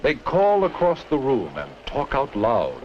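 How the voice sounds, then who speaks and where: A man's voice: mid-range voice, huge pitch swings, a little slow, noisy background. A man narrating a 1950s school film, teaching with simple questions put straight to the viewer, on a muffled old soundtrack. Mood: questioning, disapproving. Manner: didactic, addresses the viewer with simple rhetorical questions. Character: genial adult male narrator guiding schoolchildren.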